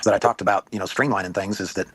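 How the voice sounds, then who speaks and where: A man's voice: lowish voice, steady tone, very fast, fairly bright tone, husky, dry recording. A man talking as a panelist in a casual online discussion.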